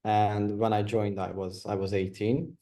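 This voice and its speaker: Young man, mellow voice. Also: lowish voice, level tone, unhurried. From Romania. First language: Hungarian. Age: young adult. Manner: hesitant, repeats words, plain and friendly. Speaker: guest, video editor. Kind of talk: casual interview answers. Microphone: video call.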